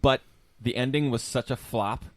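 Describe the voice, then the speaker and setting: A man's voice: mid-range voice, fairly even intonation, normal speed, no echo. A man co-hosting a casual podcast, joking and slipping into mock voices. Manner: joking, slips into mocking voices. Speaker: podcast co-host. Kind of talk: casual chat.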